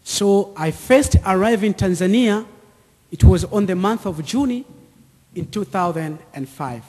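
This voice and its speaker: Slow East African man in an echoey hall. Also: high-pitched, animated, a little mellow. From Central or East Africa, near Lake Tanganyika. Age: 30s. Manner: deliberate, short repeated phrases. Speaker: speaker giving a testimony. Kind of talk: personal testimony told to an audience with slides. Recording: echoey hall with long reverb.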